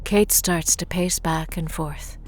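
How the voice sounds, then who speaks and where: A woman's voice: lowish voice, steady tone, slow pace, a little hiss or hum. A woman narrating an audio drama in composed, scripted present-tense storytelling.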